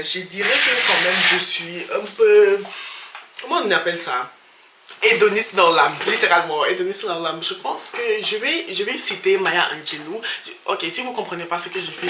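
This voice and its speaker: Young female vlogger, casual and loose, code-switches constantly, crisp bright tone, light background hiss. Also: on the low side. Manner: chatty, switches between French and English, quick laughs. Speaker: channel host. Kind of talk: casual vlog talk to camera. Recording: echoey room with faint noise.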